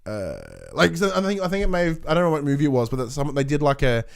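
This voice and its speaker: A man chats casually as a podcast co-host, his fairly high voice swinging widely up and down. He is playful and excitable, quick to exclaim. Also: clear, resonant tone.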